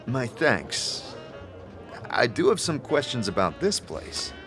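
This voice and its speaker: A man playing a reserved, curious wanderer in a fantasy audio drama, asking plain, halting questions. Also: medium pitch, very animated. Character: reserved, curious male wanderer seeking answers. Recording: music behind the voice. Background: music.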